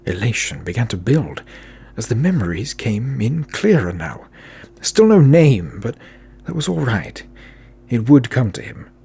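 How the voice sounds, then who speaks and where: A man with a gravelly, husky voice narrating a fantasy audio drama in a deliberate, dramatic way. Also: mid-range voice, big pitch swings, dark tone.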